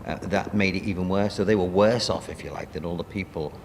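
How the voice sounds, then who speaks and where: Very deep-voiced man reminiscing. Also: creaky, darkish tone, big-room sound, slight background noise. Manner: halting, conversational. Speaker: playwright and producer. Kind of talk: interview reminiscence.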